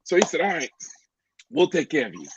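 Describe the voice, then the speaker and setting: A man from Cameroon sharing a personal faith testimony as a podcast guest, animated, stumbling over repeated words and laughing often. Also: fairly high pitch, brisk, slight background noise. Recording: dry, slightly dull lo-fi audio.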